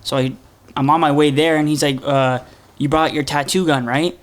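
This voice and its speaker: A man's voice: fairly high pitch, steady tone, normal speed. A man in his twenties, a podcast guest, telling a story in a casual, rambling way. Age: 20s.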